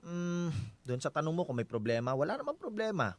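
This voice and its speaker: A man with a Filipino accent playing a gentle, considerate husband in a radio drama, talking in a reassuring, conversational way. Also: a little high, very animated, brisk, no echo. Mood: tactful.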